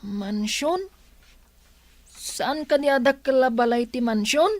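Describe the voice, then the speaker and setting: A woman's voice: fairly high pitch, slow, dry recording. A woman playing a wide-eyed, eager young boy with a childlike way of talking in an audio drama. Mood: puzzled.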